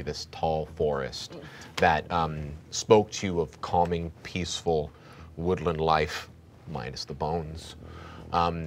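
A man in his thirties, a game master improvising descriptive horror narration with dramatic build-ups and pauses. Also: low voice, slight background noise. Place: indoor studio set dressed as a bunker.

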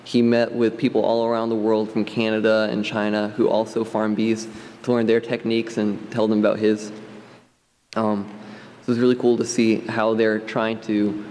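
Teen boy, earnest and halting, fillers throughout; low, even delivery; lectern mic in a big reverberant sanctuary. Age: high school age, late teens. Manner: hesitant, many ums, earnest and plain. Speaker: church member giving testimony. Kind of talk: unscripted trip report to a congregation. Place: large stone church sanctuary. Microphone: standing at a lectern microphone. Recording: long church reverb, faint room noise.

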